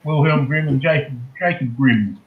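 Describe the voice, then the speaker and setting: A man's voice: mid-range voice, moderate pace, echoey room. A man chatting casually on a call, matter-of-fact with dry jokes. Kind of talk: casual chat. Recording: tinny phone-like call audio.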